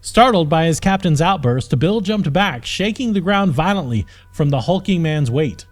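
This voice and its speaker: Male audiobook narrator for scripted fantasy, slightly high pitch, music bed. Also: animated, normal speed.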